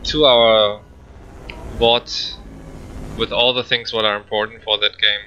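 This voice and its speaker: A man's voice: on the low side, flat delivery, a little slow, bright, forceful tone, dull audio, a little hiss or hum. A man giving casual, rambling gameplay commentary in hesitant, non-native English. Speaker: speedrunner commentator.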